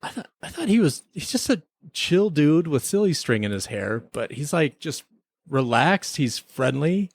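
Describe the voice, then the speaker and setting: A man's voice: a little high, very animated, moderate pace, slightly rough, no room sound. A man talks as a podcast co-host in casual review chat. He rambles through his opinions in loose, slangy phrasing. The sound is dry, with no room around the voice.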